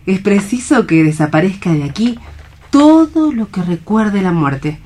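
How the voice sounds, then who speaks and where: A woman playing a gentle, hopeful woman in a radio play, speaking earnestly and with careful formality. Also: mid-range voice. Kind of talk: theatrical radio play reading.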